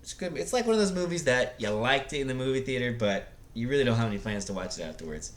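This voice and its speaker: A man's voice: medium pitch, lively intonation, fast. A man giving casual, unscripted opinions like a video blogger, blunt and rambling, often hesitating as he searches for words.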